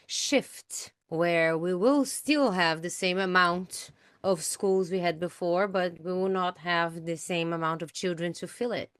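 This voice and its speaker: A woman's voice: on the low side, a little slow, fairly bright tone, clean tone, dry recording, clean studio sound. A teacher, a woman whose first language is Portuguese, speaking in a casual podcast interview, thoughtful and laughing lightly between points.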